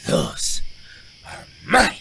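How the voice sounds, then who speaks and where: A man playing a hostile, foul-mouthed villain in an audio drama, with a gravelly, menacing voice. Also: very slow, very noisy.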